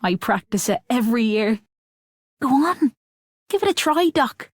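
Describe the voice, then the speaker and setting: A woman's voice: on the high side, animated, measured pace, no room sound, studio quality. A woman voicing an earnest, encouraging game character who shares old folk wisdom like a storyteller. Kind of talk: scripted game character greeting.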